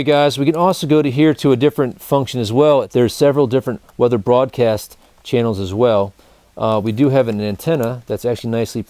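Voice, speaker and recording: A man's voice: medium pitch, a little mellow, dry recording. Casual man presenting gear, very dry sound. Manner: informal, colloquial, addressing viewers as guys. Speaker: host of a survival gear review show. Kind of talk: casual product demonstration to camera. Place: indoor studio with backdrop, dry sound.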